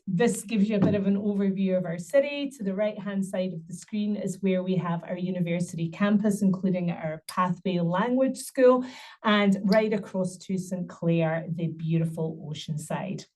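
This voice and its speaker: A woman's voice: mid-range voice, dark tone, recorded from a distance. A middle-aged woman giving an upbeat university webinar presentation, promoting her city with frequent ums.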